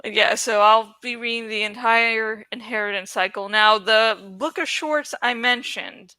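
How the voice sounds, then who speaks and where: Bright, clear-voiced woman chatting to viewers. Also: fairly high pitch, unhurried, dry recording. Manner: rambling, playful, self-deprecating, giggly. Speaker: YouTuber who reads books aloud. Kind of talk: casual, chatty vlog talk to viewers.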